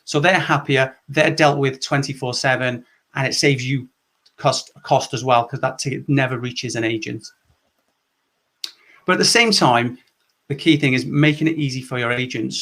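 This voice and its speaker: A man's voice: medium pitch, fairly bright tone. A middle-aged man from Wales presenting a structured conference session on customer service, explaining points step by step. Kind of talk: presentation talk.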